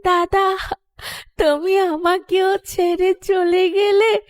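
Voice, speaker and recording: A plaintive village woman from a horror cartoon, voiced by an actress. Her voice is very high and she speaks slowly and emotionally, in a dry recording. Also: level tone, no room sound, full character voice. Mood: wailing grief. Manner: plaintive, drawn-out. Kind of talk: Bengali horror cartoon voice acting.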